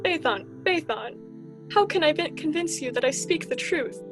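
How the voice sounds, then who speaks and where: Lady doing fussy sun god dad in a radio play, high voice, theatrical, music under it. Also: expressive, moderate pace. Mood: exasperated pleading. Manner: exasperated, theatrical parental fussing. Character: fretful sun-god father scolding his teenage son. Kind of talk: amateur student radio drama acting.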